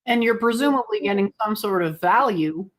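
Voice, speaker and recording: A woman's voice: mid-range voice, lively intonation, normal speed. A woman co-hosting a conversational news commentary, searching for her phrasing as she goes and posing rhetorical questions. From outside the United States. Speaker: co-host commentator.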